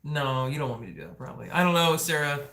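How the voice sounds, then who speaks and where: A man's voice: a little high, animated, quick pace, sharp tone. Chatty male livestream host in echoey room. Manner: chatty, joking, engaged with viewers. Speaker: family vlog host. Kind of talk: casual livestream chat. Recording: roomy indoor echo.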